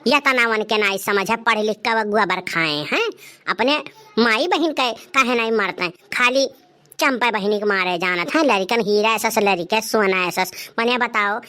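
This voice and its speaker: Woman acting high, bright, indignant Awadhi village mother. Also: moderate pace. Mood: scolding. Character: indignant, scolding village mother and elder sister. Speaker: voice actor of a comedy cartoon channel. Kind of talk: dialect cartoon comedy acting.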